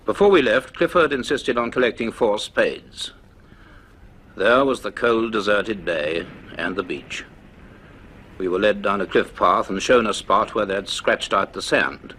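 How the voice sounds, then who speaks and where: An actor playing a grave, dryly authoritative professor in a radio serial, speaking in a measured, formal way. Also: male voice, lowish voice, a bit bright, a little hiss or hum. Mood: reflective. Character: grave, dryly authoritative male university professor recording his notes. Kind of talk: dramatised narration in a radio serial.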